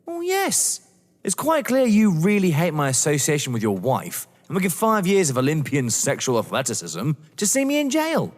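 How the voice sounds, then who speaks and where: A man's voice: a little high, huge pitch swings, moderate pace. An actor playing a sceptical, blunt young man, a wary lover, in an audio drama. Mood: suspicious, challenging. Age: young adult. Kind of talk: theatrical audio drama acting. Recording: echoey hall-like reverb.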